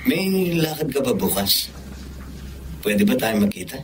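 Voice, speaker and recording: A man's voice: medium pitch, very animated, unhurried, a little hiss or hum. A man playing a charming, persistent young suitor with a coaxing manner in a Tagalog romantic radio drama. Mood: tender, pleading.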